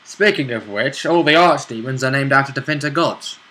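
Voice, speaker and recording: A man's voice: mid-range voice, fairly quick, bright, forceful tone. A man talking casually as a player in a tabletop role-play game, chipping in conversationally. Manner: interjecting, conversational.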